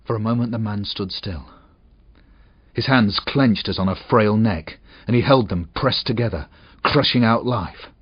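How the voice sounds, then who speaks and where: A man with a husky voice reads a short story aloud in an expressive, suspenseful way. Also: on the low side, measured pace, no echo. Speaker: audiobook narrator. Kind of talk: dramatic short story reading. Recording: dull, muffled audio.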